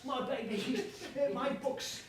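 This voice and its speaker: A man's voice: very high-pitched, steady tone, takes it slowly. A man in a staged play reading, acting a proud bookish prince who poses as a timid old librarian, stammering theatrically. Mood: timid, evasive. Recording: distant echoey room, background noise.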